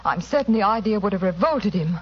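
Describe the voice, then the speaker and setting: An actress playing an anxious but brave wife, speaking formally and earnestly in an old-time radio drama. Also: female voice, mid-range voice, expressive, a little fast, natural voice. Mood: subdued, earnest. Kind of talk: 1940s radio drama acting. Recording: muffled old radio with faint noise.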